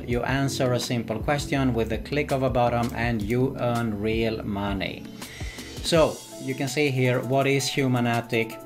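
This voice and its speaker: A man presents an online how-to in a medium-pitched voice. He has a salesy, repetitive way of walking through each step. Music plays clearly behind him. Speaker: video host. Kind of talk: scripted-sounding online tutorial narration. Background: background music.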